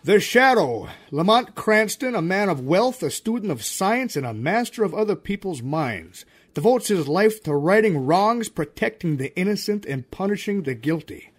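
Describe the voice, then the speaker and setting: A man's voice: sits high, very animated, normal speed, breathy edge, soft background music. A man as the announcer of a 1930s-style radio drama, giving a grand, emphatic sponsor read and introduction.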